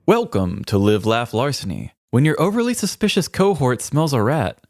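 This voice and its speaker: A man's voice: mid-range voice, sing-song, moderate pace, darkish tone, dry recording, studio quality. A man co-hosting a podcast in a casual, comedic chatting style. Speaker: podcast co-host.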